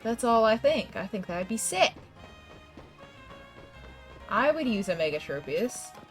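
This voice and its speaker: A woman's voice: mid-range voice, very animated, unhurried, soft background music. Congested chatty woman streamer. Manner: chatty, rambling, frequent laughs and restarts. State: congested, fighting a cold. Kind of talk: casual gameplay chat with viewers.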